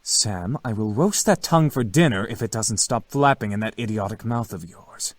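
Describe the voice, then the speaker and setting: Actor as formal, courteous incubus, close and dry. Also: male voice, lowish voice, expressive, moderate pace, no room sound, lightly acted. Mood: stern. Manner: polite, formal, commanding. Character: stern, courteous, formal young male incubus. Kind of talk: visual novel game voice acting.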